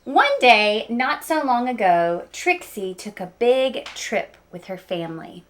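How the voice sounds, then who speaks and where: A woman reading a picture book aloud to young listeners, expressive and speaking to them directly with small asides. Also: fairly high pitch, slow pace. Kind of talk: children's read-aloud storytelling with asides.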